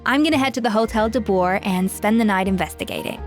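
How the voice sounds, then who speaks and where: A woman's voice: medium pitch, quick pace, soft background music, natural voice. An actress playing a proud, exacting young woman chef in a video game, speaking in a firm, articulate, self-assured way. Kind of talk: video game cutscene voice acting.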